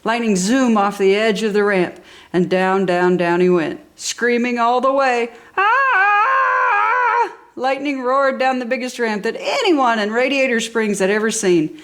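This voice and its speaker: Enthusiastic woman reading a children's storybook, higher-pitched. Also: normal speed. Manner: enthusiastic, dramatic emphasis. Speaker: storybook reader. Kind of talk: expressive children's story narration.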